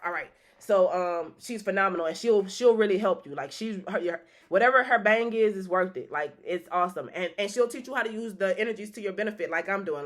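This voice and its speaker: A woman hosting a live stream and reading tarot cards, chatting in a rambling, loose way with many restarts and casual swearing. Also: medium pitch.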